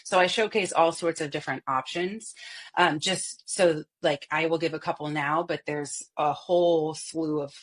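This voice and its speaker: Husky, animated woman voice coach. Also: sits low, normal speed. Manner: expressive, demonstrates voice examples, laughs often. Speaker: voice coach and guest. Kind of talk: animated podcast interview.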